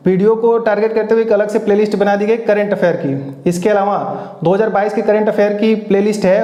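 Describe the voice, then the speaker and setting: A man with a high-pitched but soft-toned voice talks to exam students as their coach. He gives informal, instructive advice and repeats points for emphasis. The room around him sounds noticeably echoey. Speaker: exam coach and channel host.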